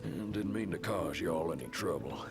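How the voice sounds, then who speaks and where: Very deep Southern country hunter. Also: male voice, measured pace, over loud music. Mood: weary, folksy. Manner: folksy, drawling. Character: gruff, folksy male country hunter, rough but appreciative. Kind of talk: video game cutscene voice acting.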